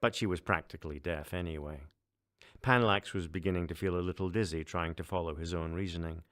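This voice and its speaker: Low-voiced man narrating a story. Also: brisk, a bit bright. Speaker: audiobook narrator.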